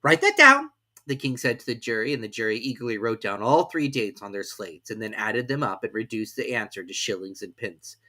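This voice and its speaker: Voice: mid-range voice, fairly quick, few pauses, a bit bright. A storyteller reading scripted children's fiction as an audiobook narrator.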